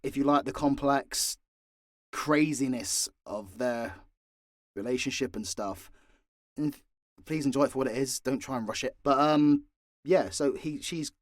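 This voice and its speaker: A man's voice: medium pitch, measured pace, pauses often, no room sound, studio quality. A man speaking off the cuff as an audio drama creator answering listeners, blunt and sweary with a theatrically exasperated manner. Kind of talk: unscripted Q&A monologue to listeners.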